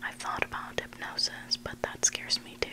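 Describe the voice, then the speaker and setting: Hushed whispering ASMR storyteller, sex indistinct. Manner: hushed, deliberate, pausing between short phrases. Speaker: ASMR host reading submitted online stories.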